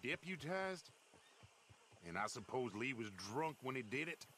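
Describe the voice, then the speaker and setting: An actor playing a scornful, suspicious plantation guard in a video game. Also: male voice, medium pitch, very animated, measured pace, pushed and bright, a little hiss or hum. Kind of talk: video game cutscene acting.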